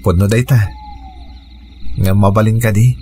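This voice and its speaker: Young activist in love, male actor, lower register, Ilocano accent, sincere radio drama delivery. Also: steady tone, normal speed, mellow, close to an everyday voice. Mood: earnest. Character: earnest young activist man named Noel, tenderly in love. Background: music under the dialogue.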